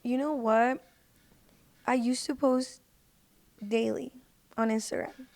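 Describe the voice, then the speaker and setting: Female podcast guest, high voice, slangy and emphatic conversational delivery, very dry close sound. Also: fairly even intonation, slow, vocal fry, darkish tone, no echo. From United States. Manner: informal, slangy, emphatic with "like" and "literally". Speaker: podcast guest, independent singer. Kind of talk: casual chat.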